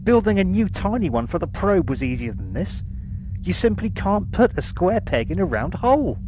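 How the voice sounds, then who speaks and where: Low-pitched exasperated starship engineer played by a woman. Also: big pitch swings, normal speed, noisy background. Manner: grumbling, sarcastic. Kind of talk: audio drama acting.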